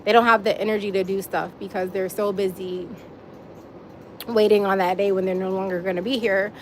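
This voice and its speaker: A woman's voice: medium pitch, level tone, a little fast, slight background noise. A woman hosting a casual live stream outdoors, chatting straight to her viewers with frequent little laughs. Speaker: livestream host.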